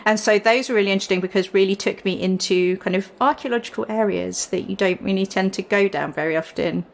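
A woman guest chatting casually in an interview, talkative and quick to laugh. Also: mid-range voice, vocal fry, slight background noise. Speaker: historical consultant, podcast guest.

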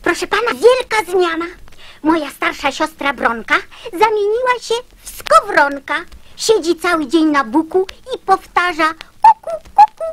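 A woman playing an excitable young girl telling outrageous tall tales in a radio verse drama. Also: very high-pitched, measured pace, a little hiss or hum, heavily acted. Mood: excited, insistent.

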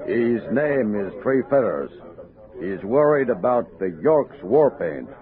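An actor playing a mild, deferential frontier scout and interpreter, tentative and polite, in a 1960s radio drama. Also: male voice, medium pitch, expressive, slow pace, phone-quality sound. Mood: explaining. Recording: band-limited 1960s radio recording.

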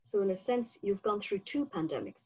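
An older woman with a husky voice, asking prepared questions in a literary interview over a video call, with slightly dull sound. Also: mid-range voice, expressive, moderate pace, dark tone. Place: book-lined room at home, indoors. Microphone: computer mic on a video call.

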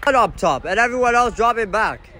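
A man filming a soccer match from the sideline in an indoor dome, commenting excitedly and shouting at the referee and his team. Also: high-pitched, brisk, a faint crowd, slight background noise. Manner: animated, shouting at the referee and players. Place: indoor sports dome, large. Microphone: phone camera held by the speaker.

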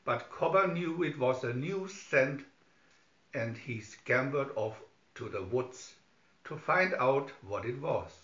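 Male storybook narrator, slow scripted children's read, lo-fi sound. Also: medium pitch, pushed and bright. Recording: dull lo-fi recording, roomy echo.

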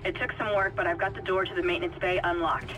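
A woman acting a brisk, businesslike spaceship technician in a video game. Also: lowish voice, flat delivery, noisy background, natural voice.

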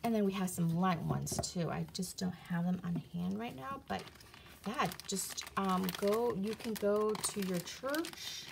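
A woman casually showing her CDs and reading their back covers aloud, halting often with fillers and restarts, recorded on a phone camera above a table indoors. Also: medium pitch, vocal fry, noisy background. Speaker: video creator reviewing CDs. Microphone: phone camera filming her hands on a table from above.

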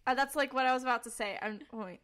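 Young woman, bright, commanding voice. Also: high voice, fast. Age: young adult. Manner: talkative, informal, emphatic. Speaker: podcast co-host. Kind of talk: casual personal testimony and chat.

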